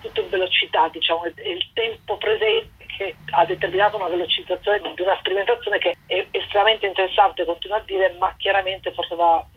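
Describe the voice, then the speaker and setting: A woman's voice: lowish voice, fairly even intonation, fairly quick, hardly any pauses, breathy edge. A woman guest explaining an arts project in a radio interview, talking at length and often adding filler phrases. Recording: muffled phone line on radio.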